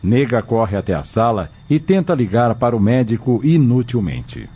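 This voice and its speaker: A man's voice: medium pitch, sing-song, normal speed, breathy edge. A man reading scripted narration for an audio drama. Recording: muffled recording over soft music.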